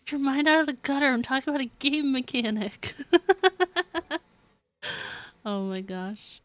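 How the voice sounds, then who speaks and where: High-voiced, giggly woman game streamer. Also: animated, a little slow, fairly bright tone, dry recording, faint music behind. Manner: giggly, playful, exclamatory. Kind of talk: casual livestream chat while gaming.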